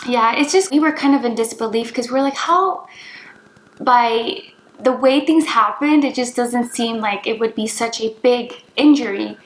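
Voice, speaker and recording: A woman's voice: a little high, normal speed. A woman co-hosting a vlog, chatting casually and reassuringly as she shares a personal story. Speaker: vlogger, co-host with husband. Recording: roomy echo, music playing behind.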